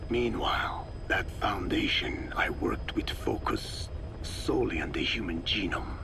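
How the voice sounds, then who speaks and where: An actor playing a very old, wise Navajo scientist in a video game, solemn and lecturing, with a raspy, breathy croak. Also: male voice, lowish voice, heavily acted. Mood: reflective. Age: older. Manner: slow, deliberate, lecturing. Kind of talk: scripted dramatic game dialogue.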